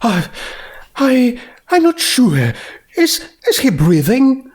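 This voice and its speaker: Male reader as genteel young mare, high-pitched, slow, dainty, polite, storybook character. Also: wildly swooping pitch, darkish tone, husky, full character voice. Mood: worried, hesitant. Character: genteel, ladylike young adult female pony, polite, hesitant.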